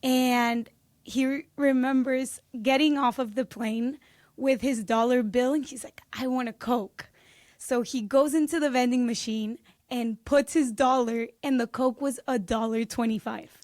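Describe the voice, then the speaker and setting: Young woman from peru, high voice, bubbly, storytelling, dry close sound. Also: fairly even intonation, unhurried, darkish tone, dry recording. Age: 20s. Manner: bubbly, laughs often, storytelling. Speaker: guest. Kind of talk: casual interview chat.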